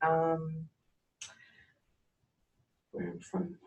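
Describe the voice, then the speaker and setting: A woman's voice: sits low, monotone, drawn-out words, creaky, highs cut off. A woman from Chicago hesitantly introducing herself to a training group, with lots of ums and easy laughs. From Chicago, USA. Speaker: training participant. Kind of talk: casual self-introduction. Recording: echoey room, distant mic.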